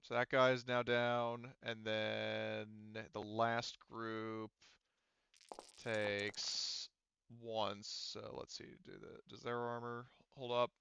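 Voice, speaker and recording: A man's voice: lowish voice, level tone, drawn-out words, vocal fry, fairly bright tone, clean tone. A man running a tabletop roleplaying game as game master, talking through dice rules in a casual, profane way over slightly dull audio.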